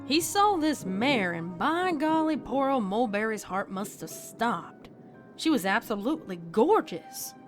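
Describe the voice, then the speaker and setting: A woman's voice: a little high, big pitch swings. Woman playing folksy cowgirl pony spinning ghost tales over music. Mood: folksy, animated storytelling. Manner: folksy, drawn-out dramatic storytelling. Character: female cowgirl pony telling a spooky tale, deadpan. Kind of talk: campfire ghost story in a fan fiction audio reading. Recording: background music under the voice.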